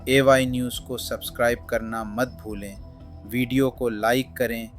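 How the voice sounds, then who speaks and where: A man's voice: mid-range voice, level tone, measured pace. A man presenting a scripted news narration in formal Urdu diction. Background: background music.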